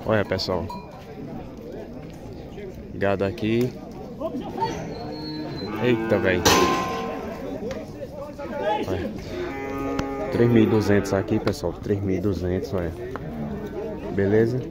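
Lower-voiced Brazilian man filming cattle. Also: steady tone, slow pace, long pauses, over a noisy crowd. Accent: Pernambuco Brazilian Portuguese. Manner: chatty, keeps calling out prices to his audience. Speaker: vlogger filming a cattle market. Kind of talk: casual running commentary to viewers. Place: outdoor cattle pens at a livestock fair. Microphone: handheld phone camera.

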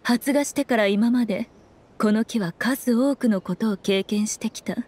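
A woman voicing a sly, regal fox shrine maiden in an anime game, with measured, knowing, old-fashioned diction. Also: on the high side, a little mellow, husky. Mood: playful, teasing. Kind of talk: Japanese anime game voice acting.

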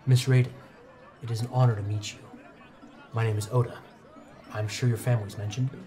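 A man's voice: medium pitch, little pitch movement, fairly quick, crowd noise, lightly acted. A man voicing a polite, well-off middle-aged merchant with a formal, humble way of speaking. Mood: courteous.

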